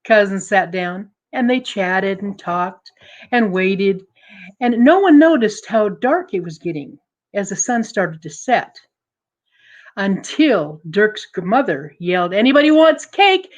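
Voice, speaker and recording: A woman storyteller with a mid-pitched voice and a slow pace. She narrates dramatically, leaning on words with deliberate emphasis to build suspense. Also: animated.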